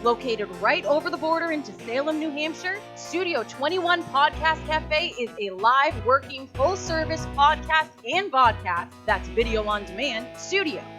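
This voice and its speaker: A woman announces a commercial in a bright, salesy read. Her voice sits high, and clear music plays beneath her the whole time. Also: animated, moderate pace, hardly any pauses. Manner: upbeat, promotional. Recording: voice over background music.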